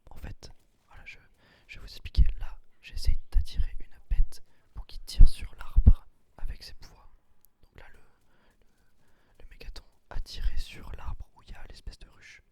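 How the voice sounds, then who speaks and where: A man whispering a gameplay commentary in ASMR style, explaining things in an unhurried, hesitant way. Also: warm tone. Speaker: gaming video creator.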